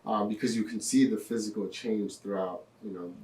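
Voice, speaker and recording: A young man in his twenties, a podcast guest telling his story in a relaxed way with lots of fillers. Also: fairly low pitch, normal speed, distant mic. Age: 20s. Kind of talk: casual interview. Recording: echoey room, off-mic.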